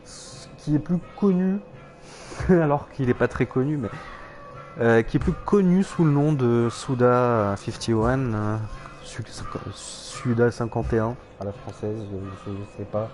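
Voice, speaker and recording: A man's voice: medium pitch, measured pace, a little mellow, faint music behind. A man streaming a game, rambling hesitantly with many fillers and self-corrections, with dry irony. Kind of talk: casual rambling game commentary.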